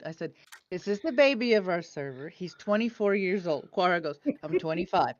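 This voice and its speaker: A woman's voice: fairly low pitch, lively intonation, moderate pace. Fifty-something lady rambling thru a story, dull lo-fi mic. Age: 50s. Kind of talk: casual group voice chat. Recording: muffled lo-fi mic.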